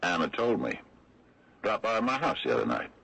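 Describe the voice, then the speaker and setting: An actor playing a wry, folksy small-town lawman, speaking deliberately in a 1970s radio mystery style. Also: sing-song, lo-fi sound, crowd noise. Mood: somber, sardonic. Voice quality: very deep, gravelly, rough. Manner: deliberate, folksy, sardonic, hesitant drawn-out delivery. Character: wry, slow-talking older small-town lawman exposing a cover-up. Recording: old radio drama broadcast recording.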